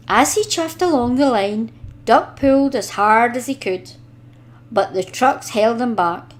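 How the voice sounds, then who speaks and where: A woman reading a children's storybook aloud in an expressive, deliberate storytelling manner. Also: fairly high pitch. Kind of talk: expressive scripted storybook narration.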